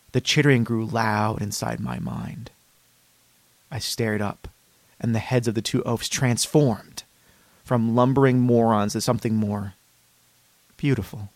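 A man performing a horror story as an eerily calm, dreamy patient, measured and with dramatic pauses. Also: mid-range voice, no echo, lightly acted. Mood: eerie, dreamy. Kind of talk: scripted horror story narration.

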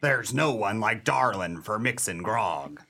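Gravelly peg-legged pirate. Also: male voice, fairly low pitch, animated, measured pace, bright, forceful tone, exaggerated voice. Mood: wistful. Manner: broad pirate dialect with growled exclamations. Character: gruff peg-legged male pirate, suspicious and vain. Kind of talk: comic radio play acting.

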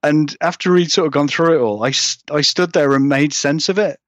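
A man's voice: on the high side, fairly even intonation, fairly quick, fairly bright tone, no echo, dull audio. A man chatting casually as an interviewed author, rambling and self-deprecating, with many hedges as he goes. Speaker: guest author being interviewed.

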